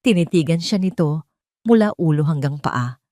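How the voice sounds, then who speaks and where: A Filipino woman narrates a scripted drama. Her voice sits slightly low and moves through lively, wide intonation. The sound is dry and close, with no room on it. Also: normal speed, dry recording. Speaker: narrator of audio drama stories.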